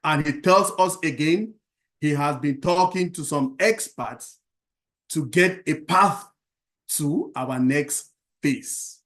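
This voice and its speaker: Earnest guy from cameroon, lots of uhs, kinda high voice. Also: fairly bright tone. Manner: earnest, repetitive, halting with fillers. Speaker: round table speaker. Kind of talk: impassioned testimonial speech.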